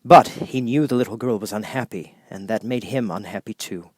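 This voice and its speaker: A man reading scripted storybook narration as an audiobook narrator, in a measured, expressive storytelling manner. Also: fairly low pitch, no room sound.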